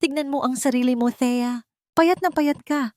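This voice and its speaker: Harsh elderly grandmother, acted by a woman, raised pitch, sharp, scolding, accusing, dramatic audio story. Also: moderate pace, no echo, studio quality, close to an everyday voice. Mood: furious, accusing.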